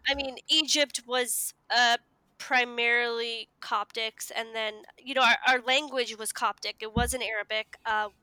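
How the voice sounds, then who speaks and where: A woman's voice: fairly high pitch, fairly even intonation, measured pace, sharp tone, clear, resonant tone, rough recording. A woman from the United States, speaking as a podcast guest and explaining things in a casual, conversational way.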